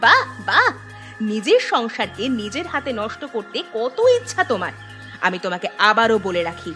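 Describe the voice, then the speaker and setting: A woman's voice: mid-range voice, fairly bright tone, lightly acted. A woman voicing an upright elderly village wife in a cartoon, nagging and reproachful. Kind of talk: cartoon voice acting.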